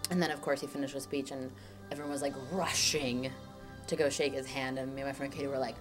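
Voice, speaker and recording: Low-voiced young woman chatting over music. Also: steady tone, quick pace. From Chile. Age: 20s. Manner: chatty, lots of "like", acts out quotes. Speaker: documentary subject. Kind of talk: casual personal storytelling. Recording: music playing underneath.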